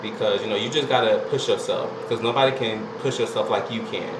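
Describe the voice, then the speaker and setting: A man's voice: fairly low pitch, steady tone, fairly quick. A young man, telling a laid-back, chatty story to camera and filling it with "you know" and "like". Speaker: vlogger. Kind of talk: casual storytelling vlog. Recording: echoey room with air conditioner hum.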